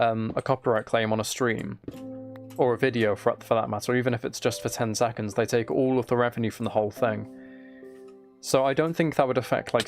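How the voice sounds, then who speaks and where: A man livestreaming a game with a flat, mellow voice, rambling casually and softly to his viewers in a low-key way. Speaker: live streamer. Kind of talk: casual gaming livestream chat, soft ASMR-like. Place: desk at home, live stream.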